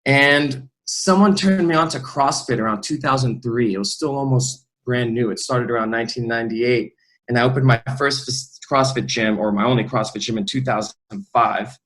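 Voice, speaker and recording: Guest, man, 30s, easygoing storyteller, mid pitch. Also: moderate pace, fairly bright tone, low-quality recording. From Lebanon, raised in the US. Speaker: guest, fitness trainer. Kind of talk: casual interview. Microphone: remote recording.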